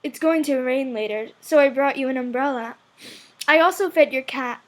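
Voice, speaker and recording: A woman's voice: normal speed, lightly acted, poor audio. A woman voicing a cool, reserved but caring teenage boy in an amateur anime fan-fiction reading. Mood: calm, caring. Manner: subdued, low-key delivery.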